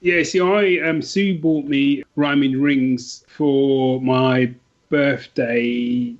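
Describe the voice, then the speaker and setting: A man's voice: mid-range voice, steady tone, extremely slow. A man chatting casually as a podcast guest about books, enthusiastic and rambling as he corrects himself, on dull, lo-fi audio. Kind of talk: casual conversational book chat.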